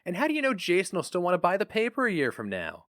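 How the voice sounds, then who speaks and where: A man's voice: sits high, wildly swooping pitch, quick pace, fairly bright tone, dry recording, clean studio sound, voice pushed up, exaggerated voice. A man voicing an eager, stubborn young husband who speaks earnestly and insistently.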